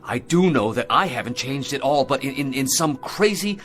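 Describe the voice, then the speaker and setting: An actor playing a shaken American astronaut husband in a radio drama, speaking earnestly and haltingly and insisting he is rational. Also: mid-range voice, animated, fairly bright tone, faint music behind, lightly acted. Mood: troubled, insistent. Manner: halting, earnest delivery with repeated words.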